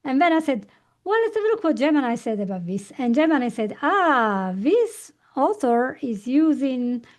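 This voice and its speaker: A woman hosts a conversational podcast, her voice sitting a little high for a woman. She prompts her guest with curious, lively questions and talks through her own examples. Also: normal speed. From Italy. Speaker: podcast host. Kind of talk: conversational interview.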